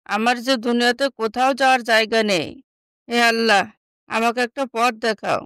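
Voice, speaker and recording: A woman's voice: on the high side, steady tone, fairly quick, fairly bright tone, clear, resonant tone, dry recording, studio quality, natural voice. A woman playing a humble, sincere young stepsister who sells vegetables in a moral story. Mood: meek, pleading. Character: Ayesha, humble neglected young stepsister turned vegetable seller.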